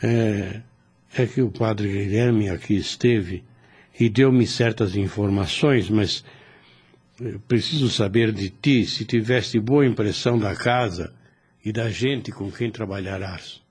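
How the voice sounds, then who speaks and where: A man's voice: on the low side, slow, darkish tone, slightly rough. A man playing a kindly, fatherly older priest in a radio soap opera, with formal and solemn phrasing. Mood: reassuring. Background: music under the voice.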